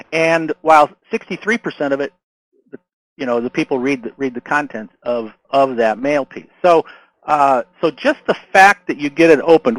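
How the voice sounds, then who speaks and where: Hoarse man coaching on marketing. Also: on the high side, no room sound, rough recording. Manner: conversational, many restarts and fillers, frequent "right?" and "okay?". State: hoarse, losing his voice. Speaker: marketing consultant. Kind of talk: casual instructional talk to camera.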